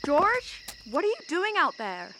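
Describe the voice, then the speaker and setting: High, bright, teasing young woman acted. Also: huge pitch swings, a little slow, slight background noise, full character voice. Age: young adult. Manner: wry, pointed. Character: teasing, self-assured young woman hiding romantic interest. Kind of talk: school radio drama acting.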